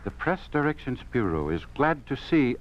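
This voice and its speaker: An American actor playing a smug, menacing totalitarian official in a 1950s radio drama, mocking in manner. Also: male voice, medium pitch, big pitch swings, moderate pace, lightly acted. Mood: smug menace. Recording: muffled, noisy old radio recording.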